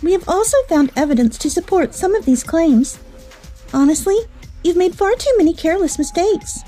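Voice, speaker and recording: Woman playing high-voiced stern company official. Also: lively intonation, moderate pace, dark tone, soft background music. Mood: stern, critical. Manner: formal, accusatory. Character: stern, exacting female company official laying out accusations. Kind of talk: manga dub voice acting.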